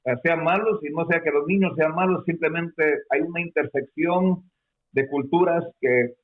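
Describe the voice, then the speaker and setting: A man's voice: on the high side, moderate pace. A man born in Peru, a guest explaining his experience in a hesitant way, with many fillers and restarts. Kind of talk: conversational explanation. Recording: phone-like narrow sound.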